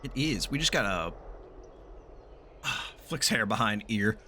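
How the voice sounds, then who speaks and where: A man giving casual, jokey and excitable reaction commentary as he streams a game, swearing freely. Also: on the low side, takes it slowly, slight background noise. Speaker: gaming streamer. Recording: loud game music behind.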